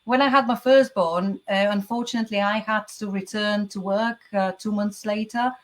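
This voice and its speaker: A woman's voice: mid-range voice, steady tone, normal speed. A woman in her forties from the UK, a guest telling her story in a casual chat, chatty, with frequent laughs and some stammering.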